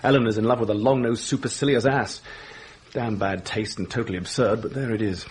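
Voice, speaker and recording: An actor playing a blunt, earnest English doctor in a radio drama, with a husky voice and an insistent manner. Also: male voice, on the low side, steady tone, a little fast. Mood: rueful, lovelorn.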